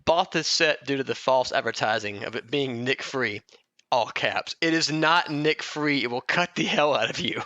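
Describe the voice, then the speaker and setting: A man's voice: mid-range voice, moderate pace, bright, forceful tone, muffled recording. A man co-hosting a loose comedy podcast, chatting in a wry, self-deprecating and casually crude way. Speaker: podcast co-host.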